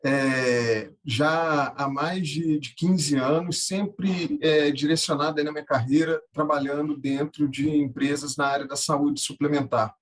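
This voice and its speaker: Halting man in his thirties, higher-pitched. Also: fairly even intonation. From Minas Gerais, Brazil. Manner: halting, repeats words as he goes. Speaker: podcast guest, tech founder and angel investor. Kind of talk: conversational interview answers.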